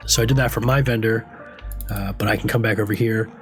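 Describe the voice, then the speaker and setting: A man's voice: lowish voice, fairly even intonation, fairly quick, faint music behind. A man with a stuffy, congested voice, casually talking through a screen-recorded game tutorial in a rambling, offhand way, swearing now and then. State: stuffy from a cold. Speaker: game vlogger.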